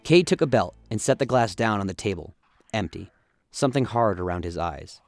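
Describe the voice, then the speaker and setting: A man's voice: lowish voice, animated, fairly quick, soft background music. A man giving scripted, dramatic narration of a story as the narrator of a radio play.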